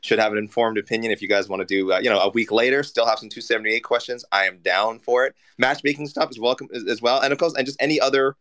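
A man's voice: mid-range voice, steady tone, fast talker, few pauses, fairly bright tone. A man co-hosting a call-in show, playful and prone to stumbling restarts. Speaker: co-host. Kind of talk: casual chat.

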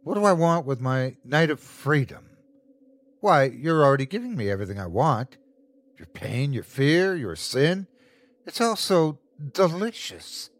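A man's voice: fairly high pitch, sing-song, dry recording, voice pushed up. A man voicing a sadistic, taunting creature in a horror story, savoring every cruel word in a mocking, gloating way. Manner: gloating, drawn-out mocking delivery. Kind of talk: horror audiobook character voice.

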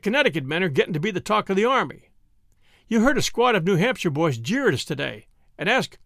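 A man playing an earnest, steady young soldier. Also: sits high, dry recording, clean studio sound, pitched above the performer's own voice, exaggerated voice. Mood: stern. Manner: firm, earnest.

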